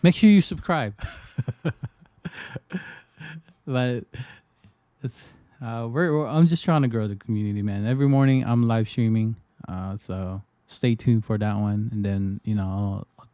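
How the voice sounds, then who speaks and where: A man's voice: fairly low pitch, steady tone, a little slow, dark tone, dry recording. A man from the Philippines casually chatting on his livestream, rambling with frequent restarts and fillers. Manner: loose, repetitive, lots of restarts and fillers. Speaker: trading livestreamer.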